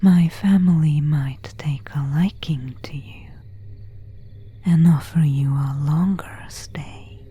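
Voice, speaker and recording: Lady acting out a courteous but menacing vampire woman, asmr roleplay, super slow dark breathy whisper. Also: low-pitched, heavily acted. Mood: quietly commanding. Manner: slow, formal, old-fashioned phrasing. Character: seductive, predatory female vampire, courteous and menacing.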